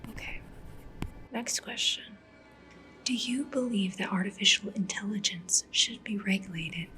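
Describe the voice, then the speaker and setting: A woman whispering softly in an ASMR roleplay as a polite, attentive matchmaker, with a breathy voice and gentle, unhurried questions. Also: medium pitch, soft background music, low-quality recording. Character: polite, attentive female cyberpunk matchmaker interviewing a client. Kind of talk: soft-spoken whispered ASMR roleplay interview. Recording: clear ambient noise behind voice. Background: sci-fi ambience, writing sounds.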